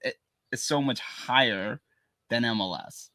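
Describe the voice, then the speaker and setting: A man's voice: medium pitch, measured pace, pushed and bright, dry recording. A man co-hosting a casual soccer podcast from a small studio, sharing opinions he keeps hedging with "in my opinion". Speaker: podcast co-host. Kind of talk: casual sports chat.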